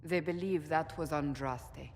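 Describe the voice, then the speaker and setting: A woman's voice: low voice, unhurried. An actress playing a stern, resolute female warrior in a fantasy game, speaking in blunt, firm, commanding statements. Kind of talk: scripted fantasy game acting. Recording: long hall reverb.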